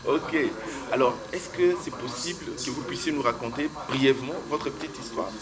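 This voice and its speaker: A man hosts a casual talk show from an open-air straw-hut restaurant. He talks in a hesitant, roundabout way, full of fillers and restarts. A crowd can be heard clearly behind him. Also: medium pitch, normal speed. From Congo. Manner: hesitant, many fillers and restarts, chuckles often. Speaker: host.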